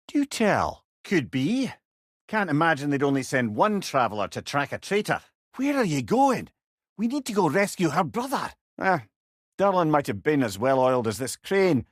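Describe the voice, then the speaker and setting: A man's voice: mid-range voice, no echo, studio quality. A man playing a wise, wry old advisor in a video game, a chatty and learned storyteller with a Scottish accent. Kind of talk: video game character dialogue.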